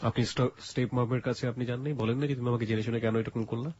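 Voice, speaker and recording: A man's voice: mid-range voice, steady tone, fast talker, a little mellow, highs cut off, a little hiss or hum. A Bangladeshi man hosting a radio interview, asking a guest probing questions.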